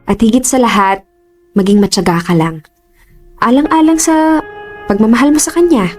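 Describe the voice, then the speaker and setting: Actress as composed Filipina office boss, music underneath. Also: female voice, a little high, normal speed, a little mellow, natural voice. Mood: earnest. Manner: earnest, conversational, mixes English into speech. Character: composed young-adult female office boss, caring but lovelorn. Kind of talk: Filipino radio drama acting. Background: background music.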